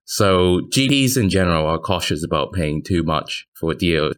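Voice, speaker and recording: A man giving measured, analytical answers as a reporter guesting on a podcast. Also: low-pitched, expressive, a bit bright. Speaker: podcast guest, senior private equity reporter.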